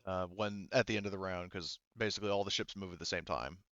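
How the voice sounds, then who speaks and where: A man's voice: low voice, brisk, a bit bright, dry recording, highs cut off. A man running a tabletop game as game master, talking casually and hesitantly as he thinks through and explains the rules.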